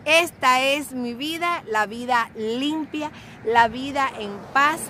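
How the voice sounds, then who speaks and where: A woman's voice: high-pitched, slow, bright, forceful tone, slight background noise. A middle-aged Cuban-born woman vlogging outdoors into a phone held at arm's length, with a theatrical, self-praising, sing-song manner. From Cuba. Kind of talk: casual self-filmed vlog. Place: outdoors, by a grassy lot.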